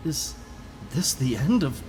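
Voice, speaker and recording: A man's voice: a little high, sing-song, slow, darkish tone, long reverb tail, a little hiss or hum. A man playing a defeated, self-doubting young superhero in a dramatic comic book reading. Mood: despondent. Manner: dramatic, dejected.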